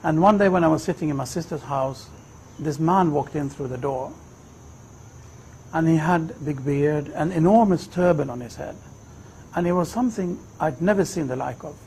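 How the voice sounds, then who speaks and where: A man in his fifties from Sweden, telling his personal story in a reflective, measured way. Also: fairly high pitch, darkish tone, a little hiss or hum, rough recording. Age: 50s. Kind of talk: personal testimony, unhurried storytelling.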